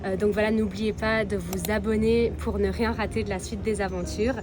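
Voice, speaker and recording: A woman's voice: medium pitch, steady tone, normal speed, over music. A woman from Belgium, chatting casually to viewers as a travel vlogger. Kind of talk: casual vlog chat to viewers.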